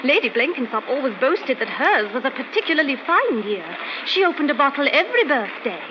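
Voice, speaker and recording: Anxious young female companion for radio mystery: very high, swooping, earnest, on a noisy old recording. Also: sing-song, fairly quick, highs cut off. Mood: earnest, polite.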